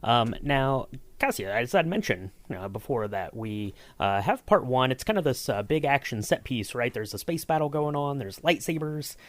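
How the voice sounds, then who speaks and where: A man's voice: medium pitch, sing-song, normal speed, dry recording. Upbeat man hosting a podcast. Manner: upbeat, with frequent fillers. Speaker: podcast host. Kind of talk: casual podcast interview.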